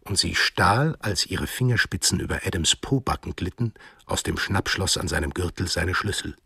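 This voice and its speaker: A man narrating a literary radio drama in German as a grave, measured storyteller. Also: low-pitched, expressive, creaky, background noise. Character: solemn storyteller narrating a literary eco-thriller.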